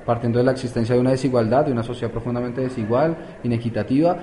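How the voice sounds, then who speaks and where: Hesitant man, mellow voice. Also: medium pitch, steady tone, very fast, slight background noise. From Colombia. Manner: hesitant, many fillers and restarts. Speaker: youth activist guest. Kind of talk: casual interview answers.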